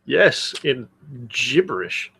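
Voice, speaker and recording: A man's voice: mid-range voice, very animated, slow pace, creaky, sharp tone, slightly rough, no room sound. A man co-hosting a comedy podcast, chatting casually in a blunt, crude manner. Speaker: podcast co-host.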